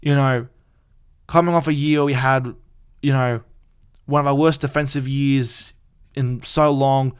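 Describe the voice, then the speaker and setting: A young man, hosting a casual solo fan podcast in an excited, rambling way with frequent restarts. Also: medium pitch, unhurried, long pauses, clear, resonant tone.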